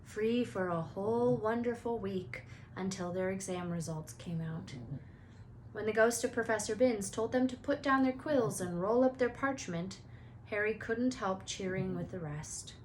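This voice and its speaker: A woman narrates a storybook aloud in an expressive way. Her voice is of middling pitch. There is faint noise in the background of the recording. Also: unhurried, poor audio.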